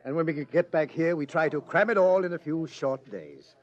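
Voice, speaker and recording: A man's voice: on the high side, animated, normal speed, muffled recording, lightly acted. A man playing a suave, mocking, patiently menacing pursuer in a 1950s radio drama. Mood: smug, menacing. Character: smug, patient male pursuer from the future, menacing. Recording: old-time radio recording.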